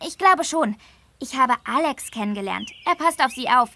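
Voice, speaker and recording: An eager, friendly teenage girl in a children's game. The voice is very bright and high, earnest and clearly enunciated, with faint noise behind it. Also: lively intonation, moderate pace, heavily acted. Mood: friendly, concerned. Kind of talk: scripted children's game dialogue.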